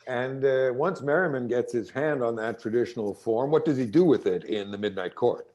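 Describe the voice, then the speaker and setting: A man's voice: medium pitch, normal speed, a little mellow, muffled recording. A man acting as interviewer, putting considered questions to a guest about literature.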